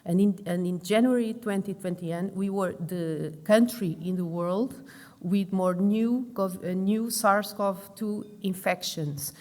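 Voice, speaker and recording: A middle-aged Portuguese woman, a lung and intensive care doctor, giving a conference talk in English with a Portuguese accent, halting and restarting her words often. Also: mid-range voice, darkish tone. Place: large conference hall. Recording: long hall reverb.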